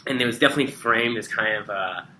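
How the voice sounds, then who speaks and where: A young man from New Mexico answering an interview in halting, repetitive phrases as he thinks aloud. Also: fairly low pitch, pushed and bright, roomy sound, slight background noise, rough recording. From southern New Mexico, USA.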